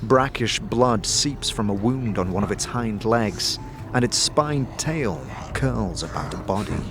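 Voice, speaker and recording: A man giving scripted fantasy narration in a dramatic, measured storytelling manner. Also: on the low side, expressive, background noise. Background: music.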